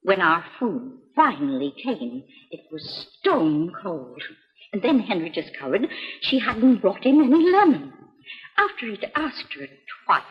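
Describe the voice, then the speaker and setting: A woman's voice: medium pitch, sing-song, unhurried. An actress playing an anxious wife recounting her husband's troubles to a doctor, in a 1950s educational film. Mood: worried. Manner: anxious, chatty storytelling. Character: fretful middle-aged wife confiding in a doctor. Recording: dull reverberant old film soundtrack with music.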